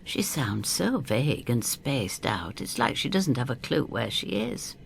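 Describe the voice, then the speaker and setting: An actress playing a plucky, down-to-earth older woman who narrates her adventure in an expressive, wry first-person style. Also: extremely low pitch, a little slow, creaky, slight background noise, full character voice. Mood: wry storytelling. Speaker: narrator and lead actor.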